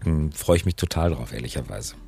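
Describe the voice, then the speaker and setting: A man's voice: very deep voice, expressive, brisk, gravelly, dark tone, slightly rough. A middle-aged man chatting casually as the co-host of a podcast. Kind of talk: casual conversational podcast talk.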